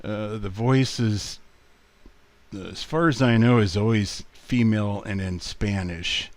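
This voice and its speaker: A man giving a casual screen walkthrough in a halting, unhurried way, as a video presenter. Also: lowish voice, no echo.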